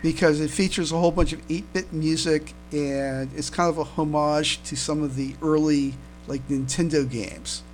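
A man co-hosting a casual fan podcast, speaking haltingly and often repeating his words as he comments. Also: a little high, fairly even intonation. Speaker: podcast co-host. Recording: noisy home recording with hum.

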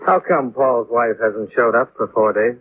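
A man's voice: medium pitch, normal speed, close to an everyday voice. A man playing a wry, hard-boiled American insurance investigator in a 1950s radio detective drama, with clipped, dry delivery. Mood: pointed, businesslike. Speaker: lead actor and narrator. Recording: muffled, lightly noisy 1950s radio recording.

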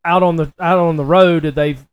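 A man's voice: on the high side, steady tone, normal speed, smooth, lo-fi sound. A man, a podcast co-host telling stories in a casual, folksy, emphatic way. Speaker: podcast co-host, racing personality. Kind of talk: casual chat, animated storytelling.

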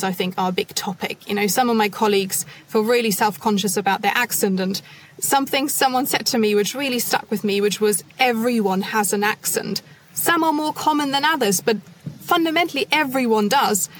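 A woman consultant speaking as a podcast guest, measured and thoughtful, with frequent soft fillers. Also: on the high side, slight background noise, rough recording. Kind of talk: podcast interview.

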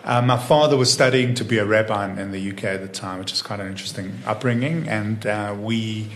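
Relaxed man in his fifties, roomy room. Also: lowish voice, a little fast, a little hiss or hum. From Israel. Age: 50s. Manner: easygoing, many "you know" fillers and restarts. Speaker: interview guest, entrepreneur. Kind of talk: relaxed conversational interview.

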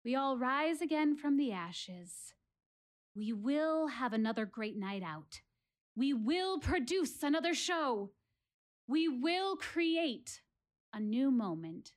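An actress performs a reflective female warrior in a solo audio play. Her voice sits fairly high for a woman. She addresses her audience earnestly and theatrically, building rhetorical points with deliberate pauses. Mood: searching. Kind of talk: one-woman stage monologue audio play.

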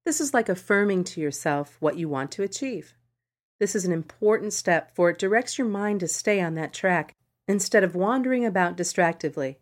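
Low-pitched woman narrating a meditation podcast. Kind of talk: scripted narration of a meditation article.

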